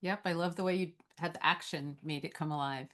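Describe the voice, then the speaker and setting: A woman's voice: sits low, fairly even intonation, a little fast, a bit bright. A woman moderating a conversational question-and-answer session and putting questions to a guest.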